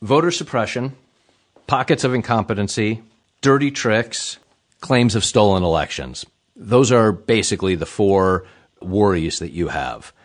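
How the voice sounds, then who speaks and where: A man's voice: lowish voice, a little slow, no echo, studio quality. A man hosting an interview show, reading scripted introductions and putting questions to a guest. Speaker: interviewer and host.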